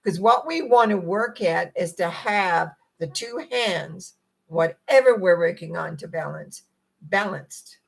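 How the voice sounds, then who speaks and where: A middle-aged woman with a croaky, husky voice gives a gentle, meandering spiritual talk and often clears her throat. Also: fairly low pitch, lively intonation, takes it slowly, low-quality recording. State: croaky, rough voice. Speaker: spiritual teacher. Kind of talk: reflective talk to viewers.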